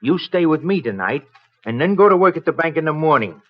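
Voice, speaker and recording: A man's voice: fairly high pitch, expressive, a little fast. A man playing a cold, threatening blackmailing ex-convict who gives orders in an old radio crime drama. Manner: threatening, commanding. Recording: muffled vintage radio recording, music behind.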